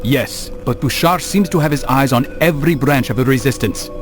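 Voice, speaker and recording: A male actor voices a resolute assassin in a video game. His mid-pitched voice is serious, earnest and controlled, with clear music underneath. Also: normal speed, lightly acted. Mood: focused, serious. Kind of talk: dramatic video game acting. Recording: game cutscene with music behind.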